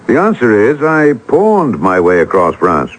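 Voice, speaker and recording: A reflective older man spinning a story in a radio play. His voice sits fairly low and moves expressively up and down. He is theatrical and takes his time, pausing for effect. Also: wildly swooping pitch, full character voice, low-quality recording. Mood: nostalgic. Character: reflective older gentleman, once a starving artist, storytelling. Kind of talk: vintage radio drama acting.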